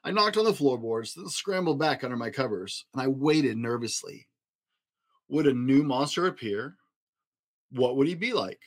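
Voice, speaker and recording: A man narrating a children's picture book in an expressive storytelling style. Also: mid-range voice, moderate pace. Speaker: storybook reader.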